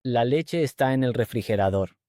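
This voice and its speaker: Male host-teacher, mellow voice, even measured read, dry close sound. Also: mid-range voice, no room sound. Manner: clear, even, measured delivery. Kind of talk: slow scripted dialogue reading.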